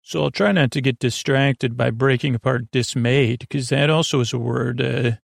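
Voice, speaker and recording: A man hosting a sleep podcast, talking in a creaky, slow, meandering bedtime monologue full of tangents and restarts. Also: mid-range voice, steady tone, no room sound, clean studio sound.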